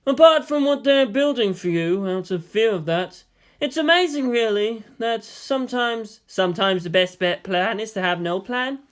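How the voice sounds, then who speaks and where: A man's voice: high voice, expressive, normal speed, pitched above the performer's own voice. A man voicing a cheerful, amused older Chinese strategist with a teasing, philosophical manner in an audiobook story. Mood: amused, musing. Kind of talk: dramatized audiobook dialogue.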